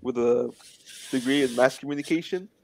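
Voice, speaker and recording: A man's voice: medium pitch, moderate pace, gravelly. A man in his twenties, a guest in a casual interview, talking in an earnest, plainspoken way. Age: 20s.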